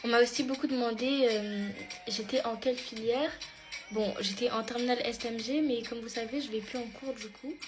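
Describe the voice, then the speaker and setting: A woman's voice: on the high side, steady tone, fairly quick. A teenage girl chatting casually in a get-ready-with-me vlog, answering her followers' questions with lots of fillers. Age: teens. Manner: chatty, many fillers, addresses followers as "mes stars". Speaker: beauty pageant contestant vlogger. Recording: music playing under the voice. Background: background music.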